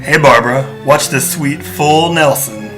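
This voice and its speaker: A man's voice: fairly high pitch, unhurried, over music, lightly acted, rough recording. A man playing a crude, whiny young brother in a comic podcast sketch, mocking and sneering. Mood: taunting.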